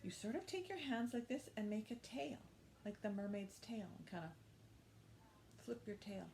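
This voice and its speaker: A woman's voice: mid-range voice, lively intonation, normal speed, gravelly, a little mellow, slight background noise. A woman hosting storytime for young children, talking to them in simple questions and short phrases and reading aloud expressively. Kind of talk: children's storytime, talk and picture-book read-aloud.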